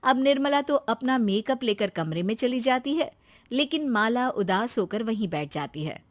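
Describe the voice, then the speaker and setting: A woman's voice: on the high side, lively intonation, normal speed. A woman reading scripted narration for a family moral tale as a storyteller.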